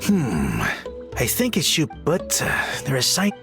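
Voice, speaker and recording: A man's voice: on the high side, huge pitch swings, measured pace, music in the background, heavily acted. A man voicing a nervous, dithering, easily startled researcher in a video game, hesitant and jumpy.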